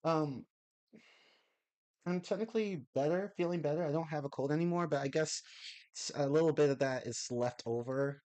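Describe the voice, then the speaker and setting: A man's voice: on the high side, moderate pace, dark tone. A young man chatting casually on a live stream, reading and answering chat messages. He rambles, corrects himself and swears casually. His voice is a little off, left over from a cold. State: slightly off voice after a cold.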